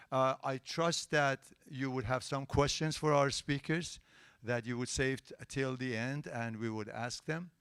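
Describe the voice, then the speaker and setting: A man hosts a live meetup, polite yet firm as he keeps the room in order. His voice is smooth and resonant, picked up dry and close on a handheld mic. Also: medium pitch, no echo. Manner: polite but firm, asks the crowd for quiet. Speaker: event host and interviewer. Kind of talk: hosting a live tech meetup, then interviewing. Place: event hall with a live audience.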